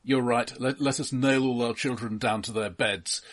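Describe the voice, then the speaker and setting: A middle-aged man from the UK with a husky, rough-edged voice and a British accent. He discusses films casually as a podcast co-host, drily and haltingly, doubling back on his words. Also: medium pitch, fairly even intonation. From United Kingdom.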